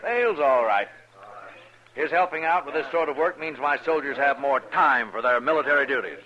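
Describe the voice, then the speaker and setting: A self-assured cavalry officer acted by a man with a mid-pitched voice. He talks down to others with formal, breezy dismissiveness, in 1950s radio western style. The sound is that of a muffled old broadcast. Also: animated, normal speed, lightly acted. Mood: dismissive.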